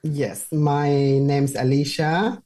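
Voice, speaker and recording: A young woman from Somalia talks in English, a to her, with a mellow, relaxed tone. She is unhurried and stops to find her words. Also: low-pitched. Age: 20s. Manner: unhurried, searching for words in a second language. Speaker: guest. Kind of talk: casual interview talk.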